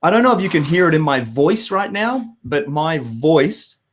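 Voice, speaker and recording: An Australian man in his thirties with a hoarse voice, hosting a live web show and chatting with viewers in an upbeat, rambling way. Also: fairly high pitch, big pitch swings, moderate pace. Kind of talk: live webinar chat to viewers.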